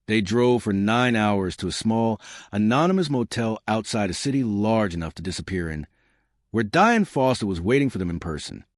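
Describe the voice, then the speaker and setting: Man, low voice, lively intonation, dramatic audiobook narration, deliberate phrasing, dry studio sound. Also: no room sound. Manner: deliberate, dramatic phrasing, addresses the listener directly. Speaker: storyteller, narrator. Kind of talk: scripted dramatic romance-thriller audiobook narration.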